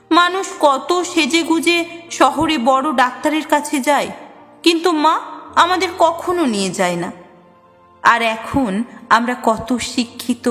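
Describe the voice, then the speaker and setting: A woman's voice: sits high, fairly bright tone. A woman reading a scripted, reflective personal story. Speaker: story narrator. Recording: long reverb, music under voice. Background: music.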